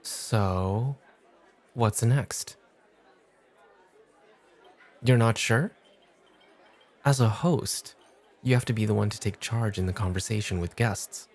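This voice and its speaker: Man acting a cool, exacting club host, gravelly. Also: fairly low pitch, some chatter behind. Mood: testing. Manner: challenging, measured, coolly teasing. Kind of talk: ASMR roleplay acting.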